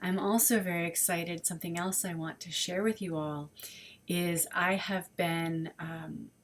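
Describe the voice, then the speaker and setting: Slow, earnest woman leading an online service. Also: on the low side, fairly even intonation. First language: English. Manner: earnest, warmly inviting, lists ideas in long runs. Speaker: program director and service leader. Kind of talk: informal announcements at an online service.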